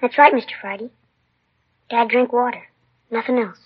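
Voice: mid-range voice, fairly even intonation, normal speed, slightly rough, natural voice. A performer playing a polite, slightly wary schoolboy in a 1950s radio crime drama, giving short plain answers. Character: polite, guileless schoolboy son, a little wary. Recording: dull lo-fi 1950s radio recording.

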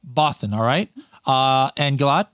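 A man's voice: mid-range voice, a little slow, fairly bright tone, clear, resonant tone, dry recording, clean studio sound. A man hosting a podcast in casual interview chat, upbeat and talkative, with frequent restarts. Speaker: podcast host.

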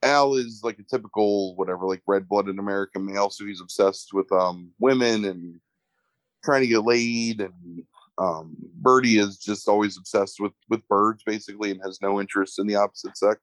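A man's voice: fairly low pitch, fairly even intonation, no echo, low-quality recording. Congested man rambling through a film summary. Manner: halting, many fillers and "like". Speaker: movie podcast co-host. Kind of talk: casual film review chat.

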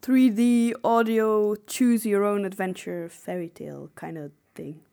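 A female podcast co-host speaks at a middle pitch. She explains things in a casual, slightly hesitant conversational style. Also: fairly even intonation, measured pace, vocal fry, mellow.

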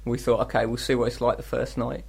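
Man, English accent, mid-pitched, radio co-host, chatty comedic banter, self-deprecating grumbles, studio setting. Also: level tone, fast, vocal fry, breathy edge. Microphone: studio microphone.

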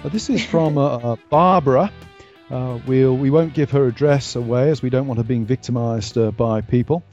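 A man's voice: medium pitch, moderate pace, a little mellow. A man co-hosting a casual podcast chat, teasing his colleagues with dry humor. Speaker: podcast co-host. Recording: dry, dull lo-fi sound with faint music.

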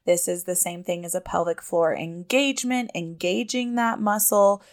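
A woman's voice: fairly low pitch, steady tone, normal speed. A woman in her thirties hosting a podcast in a chatty, emphatic way, a little sniffly and congested. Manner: chatty, emphatic, laughs often. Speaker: podcast host. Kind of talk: casual podcast talk.

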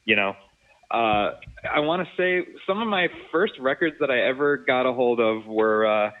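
A man's voice: mid-range voice, expressive, normal speed. A man in his thirties from Rhode Island, a guest in a casual interview, thinking aloud and searching for words. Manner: thoughtful, searching for words, many fillers. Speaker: guest, sound engineer and producer. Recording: tinny phone-like remote call.

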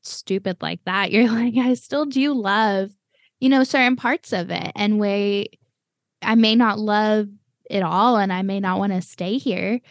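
A woman's voice: medium pitch, measured pace, no room sound, studio quality. A young American woman hosting a podcast, chatting casually with lots of fillers and little laughs. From United States. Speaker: podcast host. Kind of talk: casual chat.

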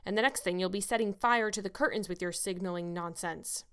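A woman voicing a stern, sharp-tongued older spinster who scolds a child. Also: medium pitch, brisk, smooth, lightly acted. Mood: scolding. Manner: curt, sarcastic, scolding.

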